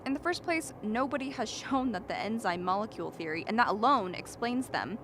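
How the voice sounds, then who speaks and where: A rational lady scientist in a radio drama , acted by a woman, arguing in a fairly high voice. She lectures precisely and dismisses others dryly. There is faint noise in the background. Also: expressive, fairly quick, natural voice. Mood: mocking. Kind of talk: dramatic radio play acting.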